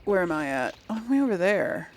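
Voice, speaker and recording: Lively woman narrating her gameplay. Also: on the low side, a little fast, slight background noise. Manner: thinking aloud, reading quest text aloud. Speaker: let's play host. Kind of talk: casual gameplay commentary.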